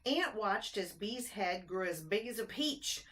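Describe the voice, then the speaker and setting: A woman reading a rhyming picture book aloud to children, expressive, with chatty explanatory asides and simple questions for the listeners. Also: medium pitch, unhurried, slight background noise.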